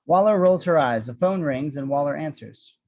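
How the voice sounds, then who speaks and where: Male screenplay narrator, wry, mellow tone, slightly muffled lo-fi sound. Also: fairly high pitch, lively intonation, normal speed. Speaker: action-description reader.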